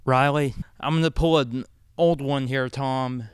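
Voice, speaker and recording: A man's voice: mid-range voice, steady tone, unhurried, smooth, dry recording, studio quality. A man from North Carolina chatting casually as a regular panel member on a show.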